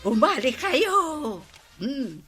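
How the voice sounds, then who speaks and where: An actress with an Ilocano accent playing a hospitable village woman who serves food, with a drawn-out, coaxing delivery. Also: high-pitched, big pitch swings, fairly bright tone, faint music behind, full character voice. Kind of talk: 1950s-style radio comedy acting.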